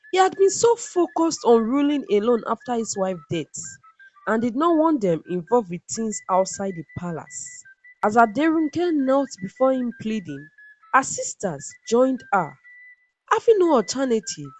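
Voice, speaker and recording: A woman reads a scripted folktale with dramatic, deliberate expression. Her mid-pitched voice rises and falls in a lively way. Faint music plays behind her. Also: rough recording. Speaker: storyteller and channel narrator.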